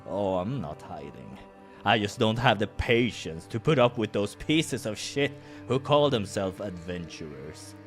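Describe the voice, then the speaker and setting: A man's voice: fairly low pitch, sing-song, unhurried, voice pushed down. Man putting on a throaty, growling wolf brute. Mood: gruff, dismissive. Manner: blunt, profane, taunting. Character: huge intimidating wolf adventurer, gruff, hot-tempered, menacingly teasing. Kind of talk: visual novel character voice. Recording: music behind the voice.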